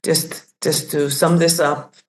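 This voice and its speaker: A woman's voice: sits low, level tone, slow. A woman in her sixties from Iceland, telling her life story thoughtfully as a podcast guest. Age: 60s. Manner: thoughtful, restarts and searches for words. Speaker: guest, community facilitator. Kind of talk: reflective personal story.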